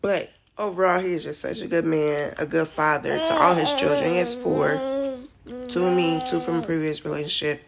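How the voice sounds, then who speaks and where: Woman, about thirty, low with vocal fry; casual rambling vlogger, emotional. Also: animated, moderate pace, a loud crowd. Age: 30s. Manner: emotional, rambling, tearful at times. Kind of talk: casual vlog chat.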